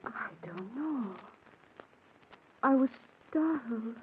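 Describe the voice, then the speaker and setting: An actress playing a frightened, dazed woman waking in another body in an old radio mystery, halting and drowsy. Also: on the high side, expressive. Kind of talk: 1940s radio mystery acting. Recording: echoey, noisy, tinny old radio recording.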